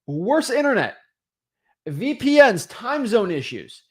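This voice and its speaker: A man's voice: very high voice, very animated, slow. A young man and host, giving a motivational teaching talk on real estate with emphatic rhetorical questions and frequent checks like "right?" and "okay?". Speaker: online real estate coach.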